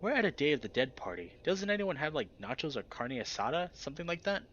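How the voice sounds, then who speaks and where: A man's voice: fairly high pitch, very animated, quick pace, a bit bright, lo-fi sound, a little hiss or hum. A man voicing an uneasy, dry-witted teenage animal character in a visual novel, plainspoken and protective of his friend. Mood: wry, let down. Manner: awkward, plainspoken, wry.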